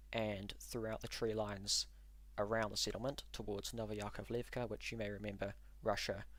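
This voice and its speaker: A man hosting a live stream, walking through frontline maps and answering chat in an analytical, measured way with frequent ums and uhs. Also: on the low side, fairly bright tone, dry recording. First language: English. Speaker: livestream host and war mapper.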